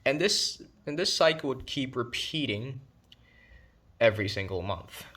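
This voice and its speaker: A man narrating an explanatory online video in a documentary style, with casual, conversational asides. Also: medium pitch.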